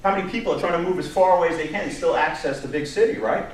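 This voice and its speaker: A man from Long Island with a Brooklyn New York accent, giving an informal talk to a staff meeting in an animated storytelling way. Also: on the high side, rapid-fire. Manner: animated storytelling, acts out quotes, rhetorical questions, chuckles. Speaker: presenter, job search instructor. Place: indoor meeting room, recorded from a distance. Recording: echoey room from far off, background noise.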